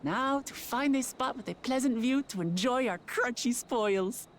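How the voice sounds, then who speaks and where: A woman's voice: fairly high pitch, measured pace, a little hiss or hum, natural voice. A woman playing an earnest, proud young feline warrior and would-be ruler in a video game, speaking firmly. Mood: light, friendly.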